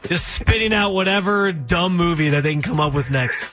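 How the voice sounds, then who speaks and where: A man's voice: dull audio, over music. A young man hosting a sports talk radio show, casual and joking, his voice prone to cracking. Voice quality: voice cracks, high youthful-sounding. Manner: casual, joking, quick opinions. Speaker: fill-in radio host.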